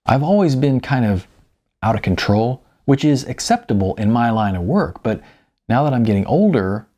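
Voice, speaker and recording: A man reading his own first-person novel aloud as an expressive, wry, conversational narrator. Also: mid-range voice, moderate pace. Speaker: author narrating own audiobook.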